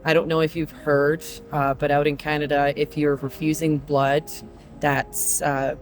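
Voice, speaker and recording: Earnest trucker from Croatia, hesitant. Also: male voice, on the high side, little pitch movement. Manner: earnest, frequent um pauses. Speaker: truck driver interviewee. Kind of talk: casual interview answers.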